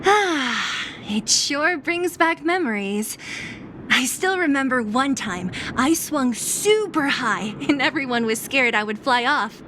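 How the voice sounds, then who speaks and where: Lively, high-voiced scrappy young woman. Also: takes it slowly, a little hiss or hum, heavily acted. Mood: nostalgic. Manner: playful, breezy, expressive. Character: cheerful, scrappy young woman raised in an orphanage. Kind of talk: English-dubbed game cutscene acting.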